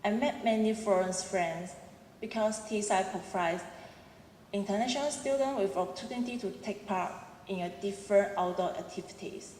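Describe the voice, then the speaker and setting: A woman's voice: medium pitch, normal speed, a little mellow, slight background noise. A young woman in her twenties with a Cantonese accent, giving an earnest prepared speech as a student at a ceremony, with small restarts. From Hong Kong. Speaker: student speaker. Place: large auditorium. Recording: echoey hall, roomy reverb.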